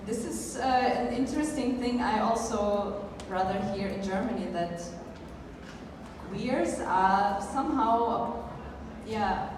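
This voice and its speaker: Slow reflective woman on a panel, far from the mic, big reverby hall with noise. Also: medium pitch. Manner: thoughtful, measured, pauses with fillers. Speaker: panel speaker.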